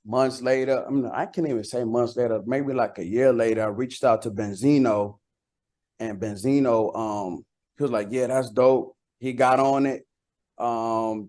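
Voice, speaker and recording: A man's voice: medium pitch, darkish tone. A man talking casually as an interview guest, a rapper using slang and repeating phrases as he tells anecdotes.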